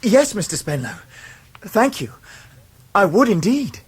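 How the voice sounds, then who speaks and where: A young English gentleman in a Victorian radio play. His voice is husky and earnest. He speaks with stiff politeness and a lovestruck eagerness. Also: high-pitched, big pitch swings, unhurried, full character voice. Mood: eager. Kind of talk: radio drama acting of a Victorian novel.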